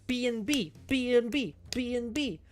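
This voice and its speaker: A woman's voice: a little high, moderate pace, noisy background. A woman hosting a casual livestream, playful and sweary, reacting aloud with music behind her. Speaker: livestream host. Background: faint music.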